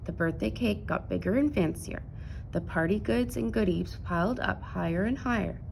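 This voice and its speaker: A woman narrating a children's picture book aloud in an expressive storytelling style. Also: mid-range voice, moderate pace, gravelly, a little hiss or hum, poor audio.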